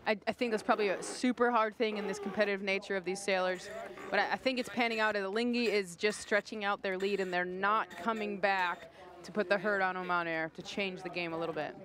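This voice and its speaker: A woman's voice: mid-range voice, fairly even intonation, a little fast, fairly bright tone. A woman giving thoughtful expert analysis as co-commentator on a live sailing race.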